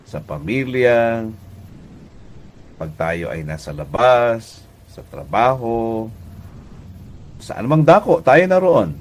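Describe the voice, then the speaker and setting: A Filipino man preaching a radio devotional as pastor and host, deliberate in delivery, with pauses and emphatic repetition. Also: medium pitch, sing-song, slight background noise.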